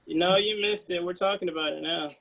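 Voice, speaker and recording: A man's voice: high voice, steady tone, quick pace, creaky, noisy background. A man hosting a casual video-call chat in an informal, laid-back way. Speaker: host of a table read.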